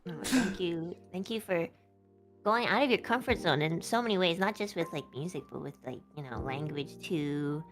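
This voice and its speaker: A woman's voice: lowish voice, normal speed, music in the background. Giggly awkward woman chatting. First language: Chinese. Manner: giggly, awkward, warmly complimenting. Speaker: guest streamer. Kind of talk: casual chat on a stream.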